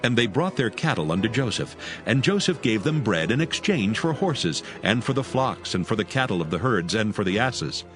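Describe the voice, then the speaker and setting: A man with a low voice narrates scripture solemnly. His intonation moves expressively across each verse, and music plays softly beneath. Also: sing-song, moderate pace.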